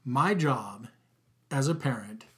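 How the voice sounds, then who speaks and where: A middle-aged man with a loud voice, talking emphatically in a casual podcast conversation and repeating his key words. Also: medium pitch, expressive, takes it slowly. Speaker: podcast guest, father.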